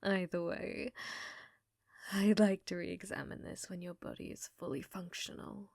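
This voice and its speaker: A woman playing an obsessive, flirtatious scientist in an intimate ASMR roleplay, with soft breathy speech and precise clinical phrasing. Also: on the low side, level tone, a little slow, no room sound, studio quality. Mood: fascinated, fondling. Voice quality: soft, breathy, often whispered.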